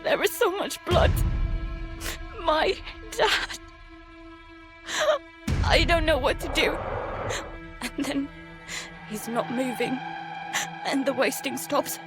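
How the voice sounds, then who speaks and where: High-pitched, frightened daughter. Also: female voice, slow pace, pauses often, music in the background. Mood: grief-stricken, shocked. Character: terrified young daughter recounting a monster attack at sea. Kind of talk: first-person audio drama narration, intense acting.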